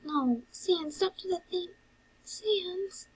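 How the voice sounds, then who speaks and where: A woman voicing an earnest, excitable and caring brother who fusses, in an amateur fan audio drama. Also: very high-pitched, slow, pitched above the performer's own voice, poor audio. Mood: worried, insistent. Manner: exclamatory, fussing.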